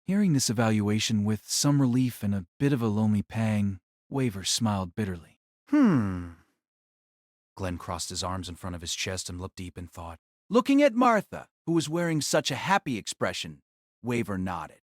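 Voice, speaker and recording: A man's voice: fairly low pitch, expressive, moderate pace, no room sound, studio quality. A man narrating a scripted audio drama as a storyteller.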